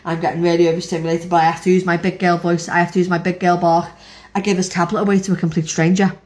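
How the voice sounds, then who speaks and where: A woman's voice: on the low side, little pitch movement, fast, a little mellow. Young woman, chatty rambling mum vlog, frank storytelling, roomy echo. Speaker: vlogger, mother. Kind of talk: casual chatty recap to camera. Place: home. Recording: echoey room.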